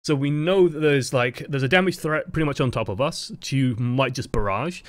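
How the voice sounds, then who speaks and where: A young man casually commenting on game footage as a coach, relaxed and chatty, with the odd swear word. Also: mid-range voice, moderate pace, no echo.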